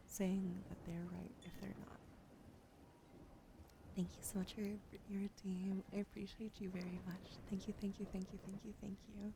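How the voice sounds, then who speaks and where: A woman hosting a livestream, doing an ASMR card reading in a soft, gentle whisper. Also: mid-range voice, creaky, noisy background. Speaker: livestream host.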